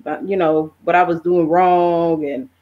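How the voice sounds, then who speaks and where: A middle-aged woman answering as a podcast guest, talking casually in a halting, conversational way. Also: lowish voice, steady tone, muffled recording. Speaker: podcast guest, travel content creator. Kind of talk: casual interview chat.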